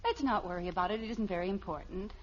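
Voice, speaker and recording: A woman playing a patient, gently needling middle-aged American housewife and mother in a 1950s radio sitcom, sweetly literal and drily teasing. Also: medium pitch, quick pace, lightly acted. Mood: placid. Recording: muffled, hissy old radio recording.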